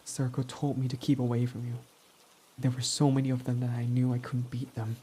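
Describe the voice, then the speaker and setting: A man's voice: mid-range voice, flat delivery, brisk, mellow, slightly rough, natural voice. A man voicing a proud, defensive young male villain in an ASMR roleplay who swears when flustered, over faint rain. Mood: quiet, confessing. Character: proud tsundere young male villain, gruff but smitten.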